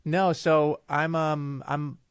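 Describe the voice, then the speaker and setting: A man in his thirties, from Italy and a native Italian speaker, chats as a podcast guest. His voice is gravelly with a bit of fry, and he pauses, restarts and rambles as he thinks aloud. The sound is dry and close. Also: a little high, level tone, dry recording. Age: 30s. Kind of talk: casual interview chat.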